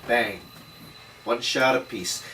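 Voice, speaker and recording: A man's voice: mid-range voice, slow, pushed and bright. A man playing a world-weary, middle-aged 1930s detective, narrating wryly and slangily at a table read. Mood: brooding, wry. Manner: wry, slangy. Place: room at a script table read. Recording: distant mic, faint background noise.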